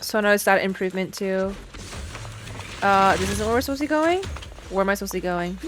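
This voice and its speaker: A woman's voice: mid-range voice, fast, fairly bright tone, a little hiss or hum. A woman streaming her casual reactions to a game as she plays, murmuring offhand asides to herself. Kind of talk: casual gameplay reaction commentary.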